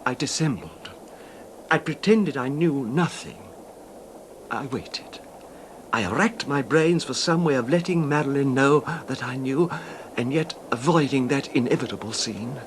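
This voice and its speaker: Genteel, quietly sinister husband played by a man. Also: medium pitch, animated, close to an everyday voice. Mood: aggrieved, controlled. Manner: measured, understated, genteel, hesitant. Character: quiet, controlled cuckolded husband calmly confessing his revenge. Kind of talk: 1970s radio drama acting. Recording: noisy 1970s radio drama recording.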